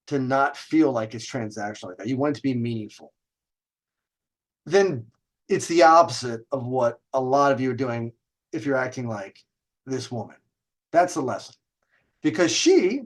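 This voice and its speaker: Animated, higher-voiced man telling a story. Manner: emphatic, drawn-out stressed phrases with rhetorical questions. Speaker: host of a dating advice show. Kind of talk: casual storytelling monologue with advice to viewers.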